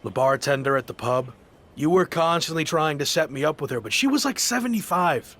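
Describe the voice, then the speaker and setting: An actor playing a reluctant, headstrong pro wrestler, grudging and sardonic, in a video game documentary cutscene. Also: male voice, fairly high pitch, animated, fairly quick, fairly bright tone. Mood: defensive, wry.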